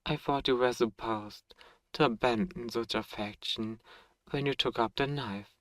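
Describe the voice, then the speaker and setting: Sadistic vampire lord male actor, courtly and possessive; unhurried, mock-tender delivery, very close and dry, for ASMR roleplay. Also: mid-range voice, fairly bright tone, dry recording, natural voice. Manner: formal, courtly, mockingly tender. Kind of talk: ASMR roleplay audio drama.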